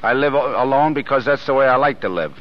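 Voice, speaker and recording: Casting: actor for gruff, independent Depression-era American drifter, medium pitch, slangy, old radio drama, dull sound. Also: male voice, fast talker, lightly acted. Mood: defiant. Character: tough, footloose, wisecracking Depression drifter with hidden soft heart. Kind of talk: 1930s American radio acting. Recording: muffled, hissy old radio recording.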